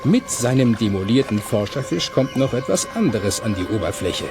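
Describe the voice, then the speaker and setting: A man narrating a children's audio play in a measured, suspenseful storytelling manner with clear articulation. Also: medium pitch, big pitch swings, loud music behind the voice. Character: suspense-building male storyteller.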